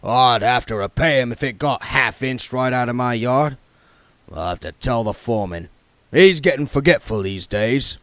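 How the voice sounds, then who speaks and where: A man voicing a gruff, shrewd, wealthy businessman who speaks bluntly with a menacing, folksy edge. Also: medium pitch, moderate pace, fairly bright tone, no room sound, lightly acted. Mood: theatrical.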